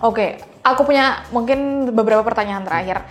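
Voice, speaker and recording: A woman's voice: a little high, a little fast. A young woman hosting a podcast, asking questions in a casual, informal way with English words mixed in. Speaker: podcast host. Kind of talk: casual chatty interviewing.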